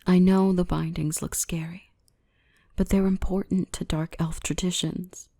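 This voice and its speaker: Gentle dominant dark elf , played by a woman: low, breathy-soft ASMR murmur with creaky edges, tender and reassuring. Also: little pitch movement, no echo, studio quality. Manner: soothing, unhurried, reassuring, often whispering. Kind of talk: ASMR roleplay, softly whispered and spoken.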